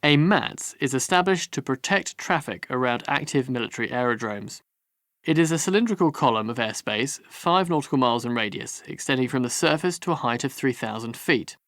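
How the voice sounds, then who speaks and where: A man's voice: medium pitch, animated, a little fast, a bit bright, no room sound. Man narrating a dry-sounding training course. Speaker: instructor narrating a pilot training audio course. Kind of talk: scripted instructional narration.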